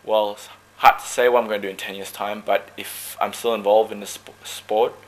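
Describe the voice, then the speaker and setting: A man from Malaysia who talks about his team sport in relaxed, joking interview answers. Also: fairly low pitch, a little fast, low-quality recording. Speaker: dragon boat paddler.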